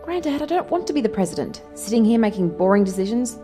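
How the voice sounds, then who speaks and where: A woman's voice: a little high, big pitch swings, fast, over music, pitched above the performer's own voice. A woman playing a plucky, restless alien adventurer in an audio drama, speaking in a wry, earnest way. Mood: protesting.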